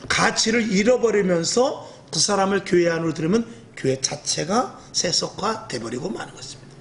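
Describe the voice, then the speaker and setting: A middle-aged male pastor preaching an evening sermon in a fairly high, rough, earthy voice. He speaks in a lively way and often acts out other people's lines. A faint hum sits behind him. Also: moderate pace. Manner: lively, acts out quoted speech, self-mocking humor.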